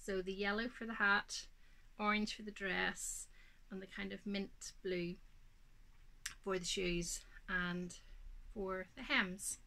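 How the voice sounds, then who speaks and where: A woman's voice: mid-range voice, fairly even intonation, vocal fry, pushed and bright. A woman in her thirties with a Northern Irish accent, chatting casually about her knitting at home, a little snuffly with hay fever and full of ums. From Northern Ireland. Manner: chatty, unhurried, many ums. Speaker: knitting podcast host. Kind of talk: casual show-and-tell chat. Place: home craft room, indoors.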